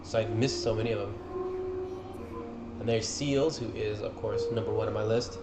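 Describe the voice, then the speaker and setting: A man's voice: fairly low pitch, fairly even intonation, moderate pace, very noisy. A man giving casual, informal commentary on game cutscenes as an enthusiastic fan presenting his favorites. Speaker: video creator presenting a favorites list. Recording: roomy echo with music behind. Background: game cutscene music.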